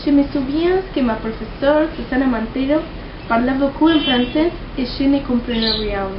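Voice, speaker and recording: High-voiced teenage girl in an echoey room. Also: moderate pace. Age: teens, 17. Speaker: student of French. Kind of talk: prepared personal testimony in French as a learner. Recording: muffled, echoey, noisy amateur recording.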